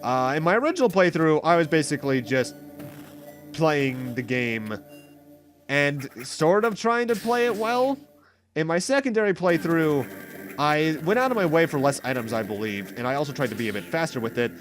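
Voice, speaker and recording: A man's voice: on the high side, lively intonation, normal speed. A man giving casual, rambling let's play commentary, explaining things and correcting himself as he goes. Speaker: host of a video game playthrough series. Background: game music.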